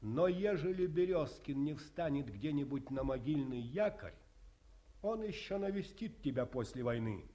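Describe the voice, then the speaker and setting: A man's voice: a little high, animated, moderate pace, lightly acted. A man playing a stern army colonel. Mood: sardonic. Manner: sardonic storyteller, swings between hush and bark. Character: stern male army colonel, middle-aged, commanding. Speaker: actor in a radio play. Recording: 1950s studio radio recording.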